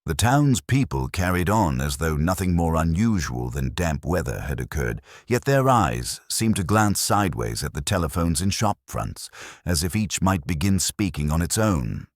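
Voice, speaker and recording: A man reads scripted mystery fiction as a narrator. His voice is extremely deep, with animated pitch movement and a close, dry sound. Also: moderate pace, dry recording. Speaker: audiobook narrator.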